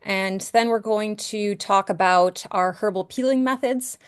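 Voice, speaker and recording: A woman's voice: medium pitch, measured pace, clean tone, no room sound, clean studio sound. A middle-aged woman from New York giving an upbeat skincare training webinar over a video call, with frequent ums and restarts. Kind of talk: webinar presentation.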